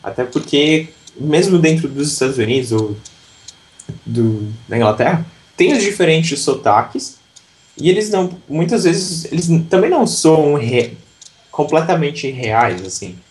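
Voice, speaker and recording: A man's voice: on the high side, lively intonation, measured pace, a little mellow. A man from southern Brazil, an English teacher, talking reflectively in a casual interview with frequent restarts.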